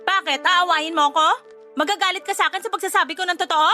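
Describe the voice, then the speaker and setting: An actress playing a resentful, defensive young wife in a Tagalog radio drama, arguing and complaining emphatically. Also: female voice, very high voice, steady tone, fast talker, pushed and bright, faint music behind. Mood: angry, defiant. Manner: indignant, argumentative, emphatic complaining.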